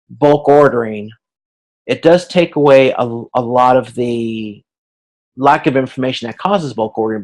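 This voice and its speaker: A man with a medium-pitched voice delivers an explanatory lecture as an instructor. His delivery is informal and halting, with repeated words and pauses as he works through each point. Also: a little mellow. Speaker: university instructor.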